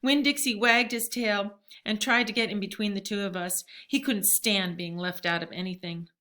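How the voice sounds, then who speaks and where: A woman reading a storybook aloud as a narrator, in an expressive read-aloud style. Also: mid-range voice, normal speed.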